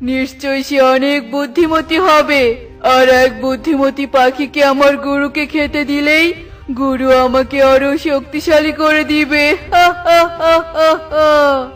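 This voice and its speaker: A boastful male cartoon sorcerer, high in pitch and very drawn out. He taunts with villainous arrogance. The voice sits back from the mic over music. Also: level tone, takes it slowly, fairly bright tone, far from the mic. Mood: menacing, gloating. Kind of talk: children's cartoon villain acting.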